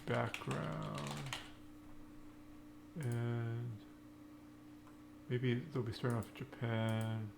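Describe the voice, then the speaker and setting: Slow, gravelly man talking through code. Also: medium pitch. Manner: halting, in short fragments. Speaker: programming tutorial instructor. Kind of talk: unscripted coding walkthrough, thinking aloud. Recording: noisy with keyboard typing. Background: computer keyboard typing.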